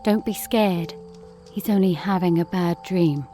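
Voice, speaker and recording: An actress playing a gentle, wry mother in a fantasy audio drama, murmuring in a hushed voice. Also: lowish voice, a little slow, soft background music, lightly acted. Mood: soothing. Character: gentle, wry Norse mother awake at night.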